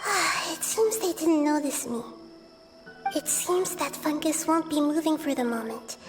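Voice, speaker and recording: Gentle, curious little girl goddess in a fantasy game, very high and soft, over clear game music. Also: a little slow. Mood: calm. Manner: soft, calm, earnest.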